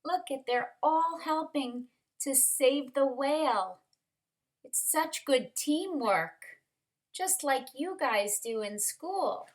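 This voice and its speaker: A woman reading a picture book aloud to young children, expressive and dramatic, speaking like a teacher who pauses to ask questions. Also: sits high. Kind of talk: animated storybook read-aloud for young children.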